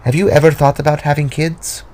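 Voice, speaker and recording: A man voicing a playful, hopeful young female teacher creature in an audio drama, gently teasing, over music. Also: a little high, flat delivery, brisk, pitched above the performer's own voice, close to an everyday voice. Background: background music.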